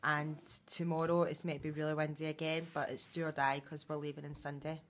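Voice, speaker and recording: A woman's voice: sits low, flat delivery, fairly quick. A woman, chatting casually into a phone held at arm's length for a holiday vlog, rambling with lots of fillers. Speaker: vlogger.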